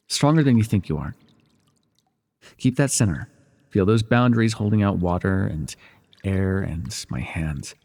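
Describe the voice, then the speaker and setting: Breathy, low-voiced teasing villain mentor played by a man. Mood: reassuring. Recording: reverberant indoor pool echo.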